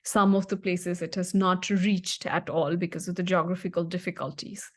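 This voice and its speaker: A woman's voice: on the low side, flat delivery, moderate pace. A young woman from Nepal, a guest answering in a podcast interview with many fillers and restarts.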